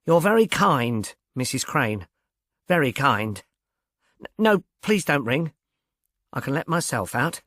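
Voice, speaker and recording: A man playing a little, dignified lawyer in a storybook, strained and formal, speaking with halting courtesy. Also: medium pitch, sing-song, fairly bright tone, no echo, clean studio sound, exaggerated voice. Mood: strained, courteous.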